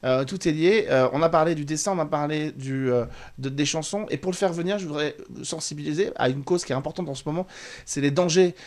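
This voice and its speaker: A man hosting a talk show, speaking off the cuff with halting phrasing and repeated words. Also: on the high side, darkish tone. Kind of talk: unscripted studio presenting.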